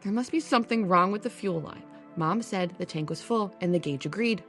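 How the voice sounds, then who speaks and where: Casting: actress as dutiful but grumbling young sci-fi mechanic, sarcastic, mid-pitched, over faint music. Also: female voice, brisk, fairly bright tone, lightly acted. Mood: frustrated. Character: grudging, exasperated young woman, dutiful daughter and mechanic. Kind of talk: audio drama acting and narration. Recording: music under the voice.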